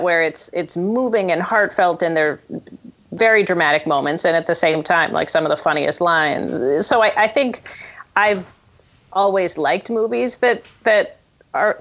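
A woman with a slightly low voice talks casually as an interview guest. She thinks aloud, circling back and restarting phrases as she goes. The audio is a little dull and lo-fi. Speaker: guest screenwriter.

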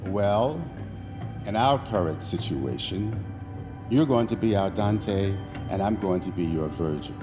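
An actor playing a confident, secretive imaginary companion in an audio drama, speaking in an assured, commanding, ominous way. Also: male voice, low-pitched, lively intonation, unhurried. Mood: assured, scheming. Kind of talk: dramatic audio fiction acting. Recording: long hall-like reverb on voice. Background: prominent music.

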